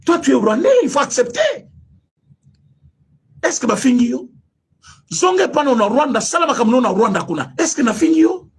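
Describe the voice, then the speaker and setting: A Congolese man with a strongly husky, breathy voice pitched high for a man. He delivers heated political commentary with a Congolese French accent. His pitch swings widely as he fires off rhetorical questions. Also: animated, normal speed, pauses often. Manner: emphatic, rhetorical questions, mixes French and Lingala. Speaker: online commentator.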